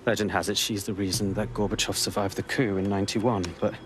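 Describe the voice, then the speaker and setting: Man acting a deep-voiced, secretive intelligence agent. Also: level tone, fairly quick, background noise, lightly acted. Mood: weary, anxious. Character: weary, secretive male intelligence agent with a scheming streak. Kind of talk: video game cutscene acting. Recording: loud music under the voice.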